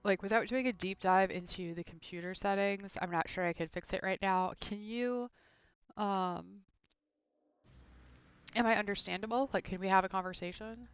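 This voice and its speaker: A woman's voice: mid-range voice, fairly even intonation, normal speed, smooth, dry recording, dull audio, faint music behind. A woman chatting casually and thinking aloud while streaming a video game from her room at home. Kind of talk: casual live gameplay chat.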